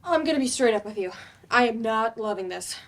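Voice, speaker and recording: A woman plays a reluctant student with a blunt, slangy way of talking. She goes fast in a somewhat high voice, as in an informal stage monologue. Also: animated, slight background noise. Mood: frank, reluctant. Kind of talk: staged play reading, monologue.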